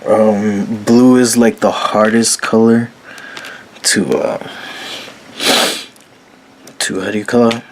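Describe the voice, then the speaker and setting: A man with a very low voice talking casually and slowly while painting, with lots of fillers and a laid-back manner. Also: flat delivery, a little mellow, slight background noise, low-quality recording. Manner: slow, rambling, frequent fillers, laid-back. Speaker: painting tutorial host. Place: small room at a painting table.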